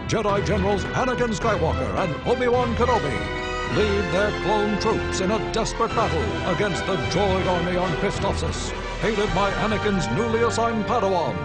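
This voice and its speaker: An actor playing an urgent, bombastic newsreel announcer narrating a science fiction war story for a video game. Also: male voice, sits high. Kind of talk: dramatic scripted newsreel-style narration. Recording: loud music behind.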